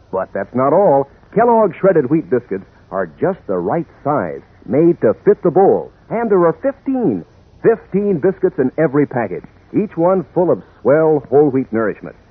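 Enthusiastic male pitchman for 1940s kids' radio, very lively inflection, slightly raspy, narrow old-radio sound. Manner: chummy, enthusiastic sales patter aimed at children. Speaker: announcer, sponsor pitchman. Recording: tinny 1940s radio recording.

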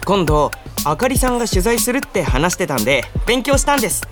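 A woman acts an earnest young junior man in a radio drama. The boyish, polite delivery is very animated in pitch, with music clearly behind it. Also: brisk, close to an everyday voice. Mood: earnest confession.

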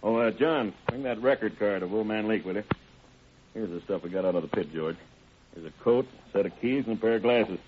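An actor playing a folksy, matter-of-fact sheriff's deputy in an old-time radio crime drama. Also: male voice, on the low side, a little fast, slight background noise, close to an everyday voice. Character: plainspoken, folksy sheriff's deputy showing evidence. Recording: tinny vintage radio recording.

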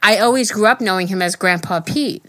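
A woman's voice: mid-range voice, fairly quick, fairly bright tone, no room sound. A woman co-hosting a podcast, chatting casually and rambling through restarts, with a slight tickle in her throat. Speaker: podcast co-host. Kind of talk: casual chat.

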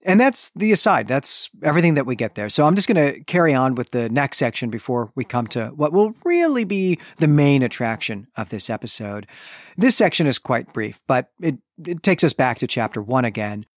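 Man, average pitch, dry close sound, book podcast co-host, conversational recap and analysis, explanatory, winding sentences. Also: big pitch swings, moderate pace, no echo. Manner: explanatory, thinks aloud in long winding sentences. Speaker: co-host of literary discussion podcast.

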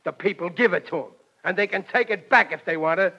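High-voiced, blustering radio dad. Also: male voice, fast talker, pushed and bright, slightly rough, exaggerated voice. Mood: blustering, confident. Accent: American. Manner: folksy, bumbling, boastful. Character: blustering, well-meaning working-class father and war plant worker. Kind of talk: 1940s radio comedy acting. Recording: dull vintage radio recording.

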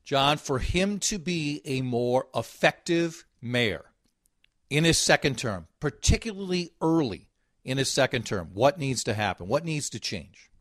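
A man hosting a radio panel, briskly steering the questions. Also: mid-range voice, expressive, no echo, studio quality. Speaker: radio host.

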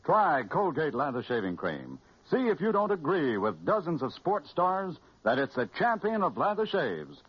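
A man announcing a scripted 1940s radio commercial in a polished, persuasive, slightly husky voice. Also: a little high, wildly swooping pitch, moderate pace, hardly any pauses, no room sound. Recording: dull, dry old radio recording.

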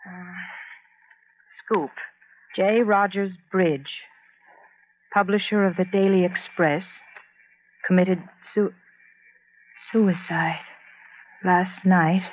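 An actress playing an anxious, protective office secretary in an old radio drama. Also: female voice, fairly low pitch, fairly even intonation, slow pace, long pauses, phone-quality sound. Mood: uneasy.

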